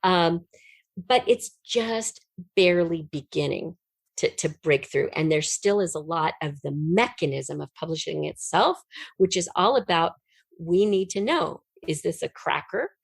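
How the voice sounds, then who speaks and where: Woman, middle-aged, low-leaning pitch, candid, emphatic, conversational storytelling, interview guest, dry sound. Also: expressive, a little slow, gravelly, slightly rough, no echo. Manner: emphatic, candid, laughs readily. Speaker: interview guest, audio drama writer.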